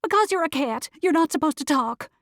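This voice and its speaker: A woman's voice: medium pitch, big pitch swings, slow, no echo, studio quality. A woman playing a frantic, incredulous young woman in an audiobook story. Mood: screaming panic. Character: panicky, disbelieving young woman, newly told she's a witch.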